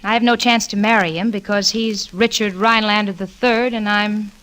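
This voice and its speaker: An actress in a 1940s radio sitcom playing a level-headed young secretary with a dry, sardonic, wisecracking delivery. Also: female voice, medium pitch, steady tone, normal speed, a bit bright, lightly acted. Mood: wry, confiding. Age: young adult. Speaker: narrator and co-lead. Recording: noisy 1940s radio recording with clicks.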